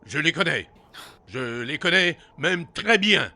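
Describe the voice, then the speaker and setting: Pompous bumbling king of the gods, man doing the voice, bright cutting tone, voice jumps around a lot, comic audio play. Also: on the high side, expressive, slow, heavily acted. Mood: flustered. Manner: blustering, flustered when cornered.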